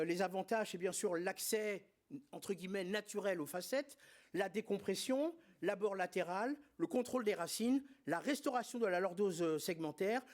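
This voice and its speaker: A man with a notably high voice delivers a conference lecture on surgery. He speaks didactically and with emphasis, stumbling now and then over fillers and restarts. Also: a little mellow. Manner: didactic, emphatic, frequent hesitations and filler words. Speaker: spine surgeon presenting at a congress.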